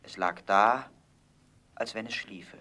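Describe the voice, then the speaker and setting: A man narrating a fairy tale in a scripted audio play with measured storytelling. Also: lowish voice, pushed and bright, a little hiss or hum.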